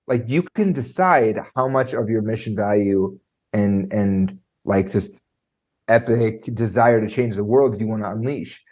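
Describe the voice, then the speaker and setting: A man coaching on presentation skills, talking in an animated way and filling his speech with "like" and "right?"; he has a trained high singing voice. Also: measured pace, lo-fi sound. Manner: animated, lots of fillers like "like" and "right?". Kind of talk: conversational podcast interview.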